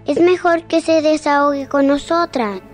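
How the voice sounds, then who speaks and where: Actress as young daughter, very high voice, earnest, insistent, perceptive, radio drama. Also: female voice, steady tone, moderate pace, faint music behind, close to an everyday voice. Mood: tender, knowing.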